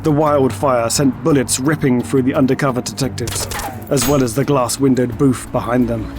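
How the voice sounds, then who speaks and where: A man giving scripted, dramatic narration for an audio story in a measured way. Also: mid-range voice, steady tone. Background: music and sound effects.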